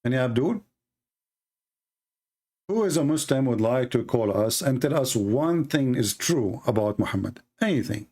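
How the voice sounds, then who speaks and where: A man's voice: mid-range voice, expressive, normal speed, darkish tone, husky. A man hosting a live call-in debate stream, arguing in a combative, sarcastic way and speaking fluent English with non-native grammar. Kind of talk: heated live-stream argument.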